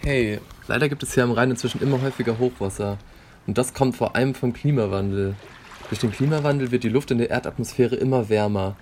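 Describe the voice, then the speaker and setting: A man's voice: mid-range voice, fast talker, noisy background, lightly acted. A man playing a riverside resident, explaining in an earnest way. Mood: plain. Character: man living by a flood-prone river.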